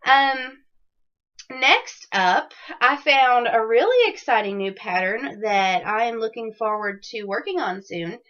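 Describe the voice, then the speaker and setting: A woman with a somewhat high voice hosts a casual knitting podcast, chatty and upbeat with plenty of ums. She sounds a little raspy from a cold. The audio is dull and lo-fi. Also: lively intonation, a little slow, a bit bright. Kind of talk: casual video podcast chat.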